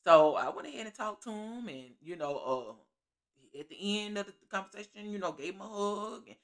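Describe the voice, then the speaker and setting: A middle-aged woman telling a story in her living room in a sassy, animated, preachy way, laughing often, into a webcam. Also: medium pitch, fairly quick. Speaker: storytelling vlogger. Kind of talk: casual storytime vlog. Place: living room at home, seated on a couch.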